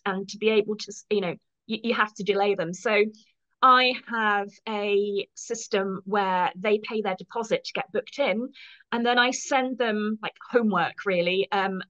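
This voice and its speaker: A woman's voice: medium pitch, normal speed, a bit bright, muffled recording. An English woman in her fifties with an English accent, chatting casually as an interview guest, friendly and self-deprecating with frequent ums. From England. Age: 50s. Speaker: guest, professional photo organizer.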